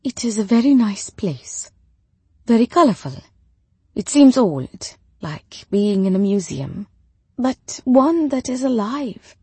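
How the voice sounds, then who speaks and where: A woman's voice: on the high side, sing-song, takes it slowly, a little mellow, slightly rough, dry recording, voice pushed up, exaggerated voice. A woman acting a proud, withdrawn widow, raised voice. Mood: distant, polite. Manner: stiff, formal, clipped phrasing. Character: withdrawn, proud, frail Bengali widow, formal and curt.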